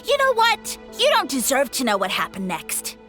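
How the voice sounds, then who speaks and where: A woman's voice: high-pitched, huge pitch swings, moderate pace, bright, forceful tone, soft background music, heavily acted. A woman voicing a smug, boastful little male mushroom creature in a video game, with a pompous, theatrical, taunting delivery. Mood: huffy, defensive.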